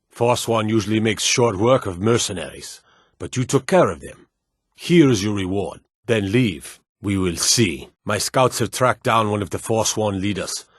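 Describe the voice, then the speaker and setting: An actor playing a stern, dutiful fantasy lord and soldier in a video game, speaking in a formal, measured, authoritative way. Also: male voice, lowish voice, fairly bright tone, husky, no room sound, studio quality. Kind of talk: video game character dialogue.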